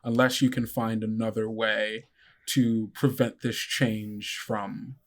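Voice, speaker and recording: A man's voice: fairly low pitch, flat delivery, slow pace. A man narrating a tabletop game as game master, casual and conversational.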